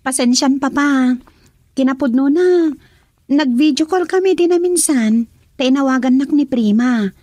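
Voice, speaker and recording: A woman's voice: high voice, a little slow, mellow, no room sound. A woman with an Ilocano accent playing a cheerful young daughter working abroad, in a radio drama. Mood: warm. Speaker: daughter character.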